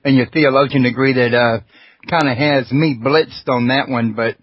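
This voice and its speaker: A man's voice: mid-range voice, fairly even intonation, moderate pace, no room sound. Easygoing man, dull lo-fi audio. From Houston, Texas. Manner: easygoing, joking. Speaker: talk radio host. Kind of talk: casual radio talk.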